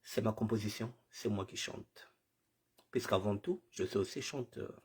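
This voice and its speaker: Man, husky voice, stuffed-up with a cold, live show host, formal and repetitive. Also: on the low side, normal speed, darkish tone. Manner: formal, repetitive greetings, mixing in Lingala. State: has a cold.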